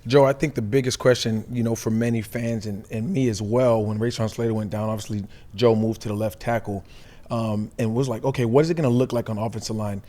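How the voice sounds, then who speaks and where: Fast-talking man with a mellow voice. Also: lowish voice, fairly even intonation. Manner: long rambling questions. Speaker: co-host, former player. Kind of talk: casual podcast questions.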